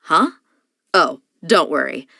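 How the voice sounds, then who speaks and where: A woman's voice: sits low, animated, takes it slowly, gravelly, sharp tone, dry recording, studio quality, full character voice. A woman playing a tough, easygoing, good-hearted young desert mercenary in a video game, casual and plain-spoken. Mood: warm, playful. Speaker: voice actor. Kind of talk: video game character voice lines.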